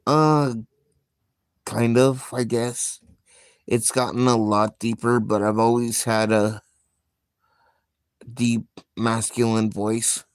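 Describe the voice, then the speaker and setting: A man hosting a casual live stream, talking in a slow, soft-spoken, reflective way with frequent pauses. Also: medium pitch, steady tone, smooth, dry recording. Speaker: livestream host.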